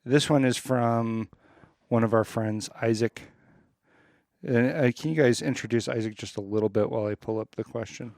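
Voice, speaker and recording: A man co-hosting a podcast in a casual, joking group chat, speaking in a hesitant, halting way. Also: medium pitch, steady tone, a little mellow, dry recording, clean studio sound. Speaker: podcast co-host.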